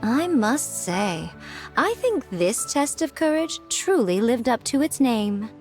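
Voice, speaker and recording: Poised, sly fox priestess. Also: female voice, on the high side, sing-song, slow, a little mellow, faint music behind. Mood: amused. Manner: composed, sly, elegant, self-assured. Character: poised, teasing, powerful female fox yokai priestess. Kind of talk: video game voice acting.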